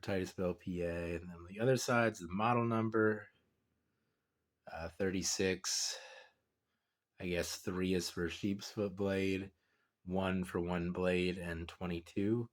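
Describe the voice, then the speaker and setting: A low-voiced man who sounds congested from a cold. He talks through a pocketknife in a loose, meandering way, leaning on ums and likes as he goes. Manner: relaxed, rambling, many fillers. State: stuffed up with a cold. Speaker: knife collector reviewer. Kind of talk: casual product review.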